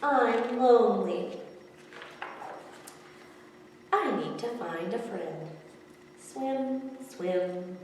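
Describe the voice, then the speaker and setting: A woman voicing a lonely, excitable male pet fish from a picture book, exclaiming in short bursts. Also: on the high side, extremely slow. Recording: echoey hall, distant mic, background noise.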